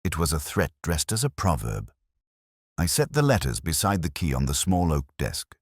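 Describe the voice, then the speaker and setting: A man performs a middle-aged, erudite detective narrating a mystery audio drama. His voice is very low and moves expressively across its range. The phrasing is deliberate, literary and brooding, captured in a dry, close sound. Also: sing-song, dry recording, heavily acted. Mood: brooding, reflective.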